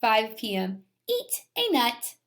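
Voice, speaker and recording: A woman teacher reads aloud to young pupils in a fairly high voice. She takes her time, very slowly shaping each phrase. Her explanations are simple and spoken straight to the children. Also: wildly swooping pitch. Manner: deliberate enunciation, explains simply, addresses children directly. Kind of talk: children's read-aloud lesson.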